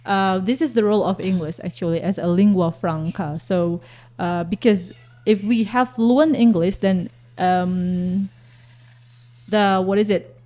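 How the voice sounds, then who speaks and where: Woman, a teacher on a radio talk show. Warm and mellow, hesitant, often stops to find the word. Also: medium pitch, unhurried. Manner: hesitant, searching for words with repeated fillers. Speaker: guest teacher.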